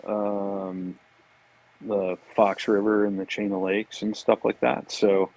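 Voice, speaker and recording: A man's voice: fairly low pitch, flat delivery, slow pace, darkish tone, low-quality recording. An American man chatting casually as a podcast guest, laid-back and informal. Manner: laid-back, informal, repeats agreement words. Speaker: podcast guest, fishing industry marketer. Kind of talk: casual chat.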